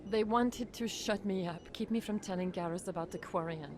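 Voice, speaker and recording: A woman's voice: medium pitch, moderate pace, background noise, close to an everyday voice. A woman voicing a shaken clinic doctor. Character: frightened female clinic doctor.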